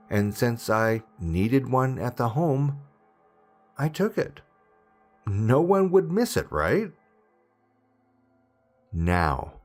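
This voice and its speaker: Slow, dramatic male horror narrator. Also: on the low side. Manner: slow, dramatic storytelling with deliberate pauses. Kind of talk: scripted narration of a reader-submitted scary story. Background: background music.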